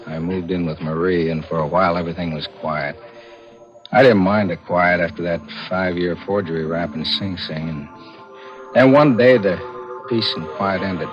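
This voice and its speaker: A man's voice: low voice, moderate pace, natural voice. An American actor playing a scheming ex-convict forger who tells his own crime in hardboiled 1940s radio thriller style. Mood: grim, brooding. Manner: hardboiled first-person narration and dialogue. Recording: muffled vintage radio recording with music.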